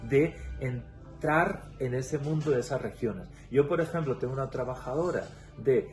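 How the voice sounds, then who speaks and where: A man from Catalonia with a Catalan-tinged Spanish accent, a guest in a casual interview, explaining thoughtfully with pauses and restarts. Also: mid-range voice, a little mellow, faint music behind. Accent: Catalan-influenced Spanish. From Catalonia, Spain.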